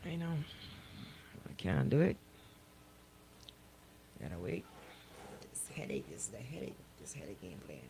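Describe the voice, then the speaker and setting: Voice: deep voice, huge pitch swings, unhurried, creaky, pauses often, background noise. A person chatting casually. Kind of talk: casual chat.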